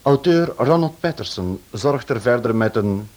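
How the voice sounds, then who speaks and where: A man's voice: medium pitch, expressive, unhurried, darkish tone. A man, a radio director giving a scripted introduction to a drama series.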